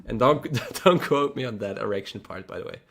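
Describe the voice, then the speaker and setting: A man's voice: medium pitch, a little fast, gravelly, a bit bright. A man with a Polish accent and Polish as his first language, hosting a casual livestream chat, rambling and restarting his words, laughing often, chatty and frank. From Poland. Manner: chatty and frank, mild swearing. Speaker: livestream host.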